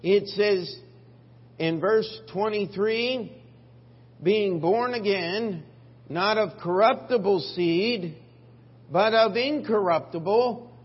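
High-voiced slow-preaching man pastor. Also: slightly rough. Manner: emphatic, rising on scripture, dropping low for asides. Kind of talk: preaching a sermon. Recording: dull lo-fi church recording with hall reverb and faint hum.

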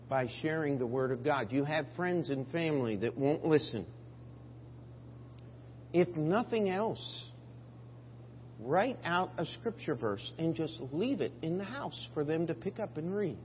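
Man pastor, high voice, emphatic sermon, long hall reverb, dull lo-fi sound with faint hum. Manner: emphatic, rising on scripture, dropping low for asides. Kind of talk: preaching a sermon.

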